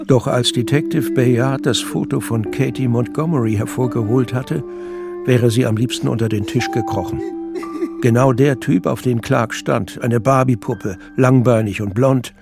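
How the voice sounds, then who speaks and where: A man's voice: mid-range voice, fairly even intonation, moderate pace, vocal fry, loud music behind the voice. A man reading scripted fiction narration in German as the narrator of an audio drama.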